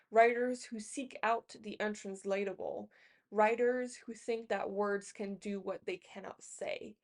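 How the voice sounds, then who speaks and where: A woman's voice: mid-range voice, darkish tone. A woman who speaks English as a second language, chatting casually to camera as a book vlogger. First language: not English. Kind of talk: casual chat to camera about books.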